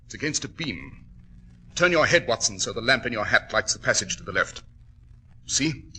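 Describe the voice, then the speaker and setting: An actor playing a shrewd, composed English detective with a British accent and a commanding, precise, clipped delivery in 1940s radio style. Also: male voice, mid-range voice, lively intonation, moderate pace, sharp tone, a little hiss or hum, rough recording. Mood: alert, commanding. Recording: vintage 1940s radio recording.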